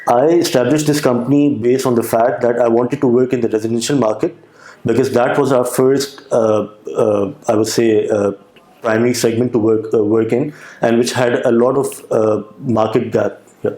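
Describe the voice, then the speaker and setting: Hesitant young Pakistani man, mellow voice. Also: medium pitch, fairly even intonation, moderate pace, echoey room, low-quality recording. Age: young adult. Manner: hesitant, many "uh"s and restarts. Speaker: guest entrepreneur. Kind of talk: interview answers.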